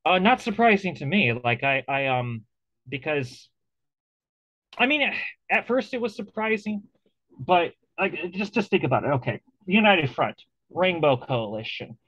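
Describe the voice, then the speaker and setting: A man's voice: fairly high pitch, very animated, measured pace, a bit bright, no echo, muffled recording. A man hosting an interview over a video call, talking casually and animatedly, with many restarts and fillers. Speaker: interview host.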